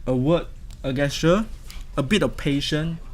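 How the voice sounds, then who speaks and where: A woman's voice: deep voice, unhurried, a little mellow. A young woman with a Malaysian accent co-hosting a casual talk show, sounding earnest and agreeable. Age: young adult. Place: university broadcast studio. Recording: faint background studio noise.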